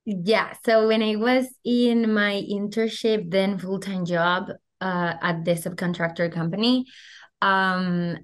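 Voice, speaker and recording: A woman's voice: medium pitch, takes it slowly. A young woman from Venezuela with a Venezuelan Spanish accent, chatting animatedly as a podcast guest over a video call, full of "like" fillers. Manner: animated, gestures a lot, many "like" fillers. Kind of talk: casual interview chat. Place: small room at home. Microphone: video call webcam.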